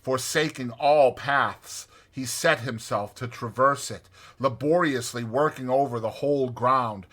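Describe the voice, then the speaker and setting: Expressive man narrating, bright husky voice. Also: mid-range voice, a little slow, few pauses. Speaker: audiobook narrator.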